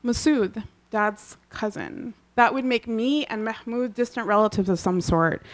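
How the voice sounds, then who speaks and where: A woman from Kansas whose first language is Urdu, reading her personal essay aloud at a live book launch, with dry humor and offhand asides, clearing her throat now and then. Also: fairly high pitch, measured pace. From Kansas, USA. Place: live literary event.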